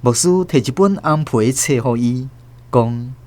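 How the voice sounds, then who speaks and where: A man's voice: mid-range voice, unhurried, a little mellow. A man with a Taiwanese accent narrating a radio drama as a scripted, dramatic storyteller.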